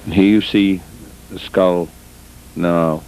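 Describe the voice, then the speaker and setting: A man giving measured, deliberate instructional sports commentary as a coach, in short phrases broken by pauses, on an old VHS tape recording. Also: fairly even intonation, a little mellow. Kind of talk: instructional film commentary over footage. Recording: noisy, muffled old VHS tape, rumble.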